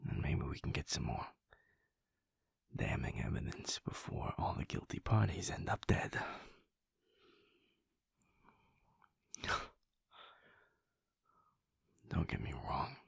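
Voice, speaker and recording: A caring werewolf boyfriend, as a man plays him in an intimate audio roleplay. His deep, husky voice drops to a breathy near-whisper, soft and confiding. The sound is dry and close. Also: animated, moderate pace, long pauses, no echo, full character voice. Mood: worried. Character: caring werewolf boyfriend, young adult male.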